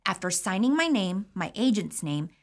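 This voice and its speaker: A woman's voice: mid-range voice, big pitch swings, normal speed. An actress playing a woman who tells a chatty, wry story about probation. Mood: amused. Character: woman recalling probation drug tests. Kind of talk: audio drama acting.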